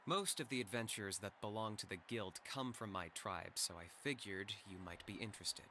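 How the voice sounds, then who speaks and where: A man playing a cool young adventurer in a video game, speaking in a calm, low-key and polite way. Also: normal speed, slight background noise. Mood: casual, welcoming. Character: cool, laid-back young male adventurer. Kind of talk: understated video game dialogue.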